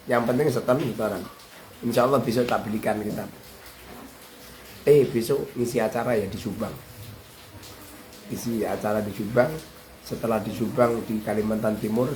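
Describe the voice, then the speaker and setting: Male religious teacher with a Javanese accent, casual and joking, mid-pitched, roomy recording. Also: a little hiss or hum. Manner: chatty, joking, reels off long lists. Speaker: Islamic boarding school teacher. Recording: roomy with faint ambience.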